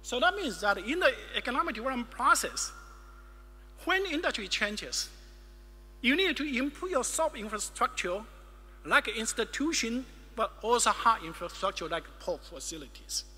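High, bright-voiced man lecturing in a hall. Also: big pitch swings, breathy edge. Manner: emphatic, gestures broadly, speaks in short measured phrases. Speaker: keynote speaker. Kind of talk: academic keynote lecture. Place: large ornate wood-panelled hall, indoors. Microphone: podium microphone.

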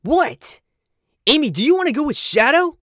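A man's voice: extremely high pitch, very animated, moderate pace, bright, forceful tone, no echo, studio quality, exaggerated voice. A man voicing a hot-headed, protective young hedgehog hero in a cartoon comic dub, dramatic and earnest. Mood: challenging. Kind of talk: fan comic dub acting.

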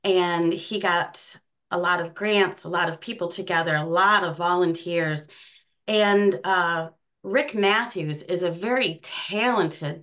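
Low-voiced American woman, dull recording. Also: a bit bright. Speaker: guest, community theater co-director and actress. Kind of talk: casual interview chat. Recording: dull, lo-fi sound.

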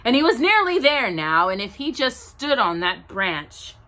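Lively sing-song woman reading a storybook. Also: a little high, unhurried, background noise. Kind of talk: children's story read-aloud. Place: home room with bookshelves, indoors. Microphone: device camera close up.

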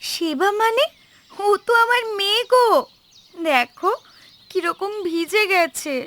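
A woman playing a doting but sharp-tongued young village wife in a cartoon, affectionate and teasing. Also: very high-pitched, expressive, slow, heavily acted.

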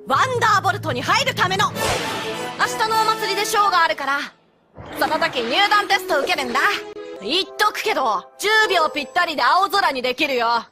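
Cocky cartoon pegasus girl, high lively voice, tomboyish, boastful. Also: a little fast, over music. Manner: boastful, casual tomboyish speech. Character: cocky, brash young female pegasus pony.

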